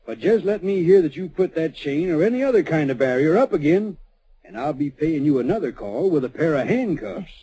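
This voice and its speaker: A folksy western sheriff whose voice bobs up and down with lots of expression. The tone is a little high and soft-edged, never harsh. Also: male voice, very animated, a little fast, husky, poor audio. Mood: stern. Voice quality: folksy drawl. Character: easygoing, evasive frontier sheriff. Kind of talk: 1940s radio acting.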